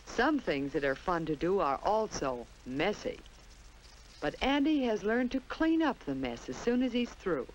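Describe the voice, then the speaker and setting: A woman's voice: medium pitch, very animated, a little slow, lo-fi sound, slight background noise. An American woman narrating a 1950s children's instructional film in a simple storytelling manner, on an old film soundtrack. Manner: simple, storytelling delivery addressed to young children.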